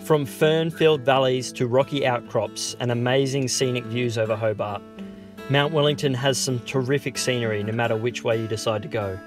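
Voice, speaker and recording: A man, narrating a bushwalk vlog in a calm, steady voice-over. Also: mid-range voice, moderate pace. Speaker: vlogger narrating a hike. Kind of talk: scripted travel narration. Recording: voice-over with guitar music behind.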